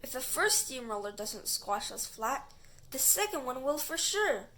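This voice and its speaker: A playful, bossy boy adventurer from a storybook, read by a woman. The voice is high and emphatic, swinging widely while staying matter-of-fact. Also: sing-song, measured pace, fairly bright tone, full character voice. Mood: urgent, bossy. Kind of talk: children's storybook dialogue.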